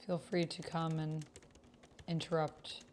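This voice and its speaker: A woman's voice: sits low, flat delivery, slow pace, a little hiss or hum. A woman chatting casually and thinking aloud as she plays a text roleplaying game, often questioning her own words. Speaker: streamer playing a text-based roleplaying game. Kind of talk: casual livestream chat, thinking aloud while reading and typing.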